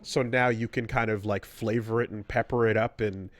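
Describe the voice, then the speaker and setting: A man co-hosting a podcast, talking casually and analytically, often halting and repeating words as he thinks. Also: fairly low pitch, steady tone. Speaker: podcast co-host. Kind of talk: casual analytical chat about stories in games.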